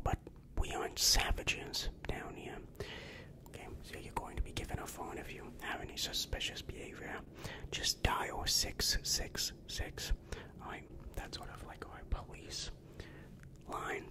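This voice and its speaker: A young man whispering an ASMR roleplay as a helpful, polite demon counselor, soft, hesitant and reassuring, close on the microphone. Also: measured pace. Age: young adult. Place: small dim room indoors. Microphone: large microphone right in front of the mouth.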